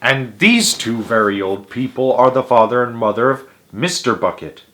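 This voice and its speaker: A man narrating a children's storybook aloud in a theatrical, emphatic way, with dramatic pauses and stretched words. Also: medium pitch, expressive, pushed and bright. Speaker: host of a read-aloud story series.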